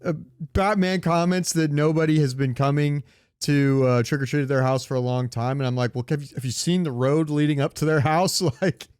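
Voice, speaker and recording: Higher-pitched man, clear dry sound. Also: steady tone, brisk, a little mellow, no echo. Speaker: podcast co-host. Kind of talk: casual chat about comics and movies.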